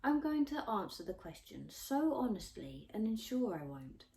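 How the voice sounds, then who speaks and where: A woman's voice: medium pitch, sing-song, moderate pace, creaky, a little mellow, voice pushed up. A woman voicing a stubborn, earnest sixteen-year-old girl in a storybook, sulky and protesting. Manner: protesting, mumbling.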